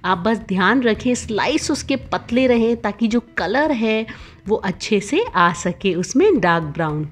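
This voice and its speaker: A woman, host of a cooking channel, narrating a recipe voice-over with clear step-by-step instructions. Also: mid-range voice, animated, a little slow, faint music behind.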